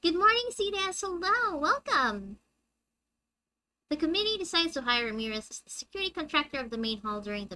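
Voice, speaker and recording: A young woman with a high-pitched, squeaky voice, chatting with her viewers on a live game stream and reading the story text aloud. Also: pushed and bright, poor audio. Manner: chatty, reads dialogue aloud and answers chat.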